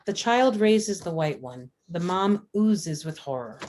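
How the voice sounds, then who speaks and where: A woman's voice: on the low side, lively intonation, a little slow, a little mellow. A woman reading screenplay stage directions as scripted narration, matter-of-fact in delivery. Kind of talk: scripted narration, table read.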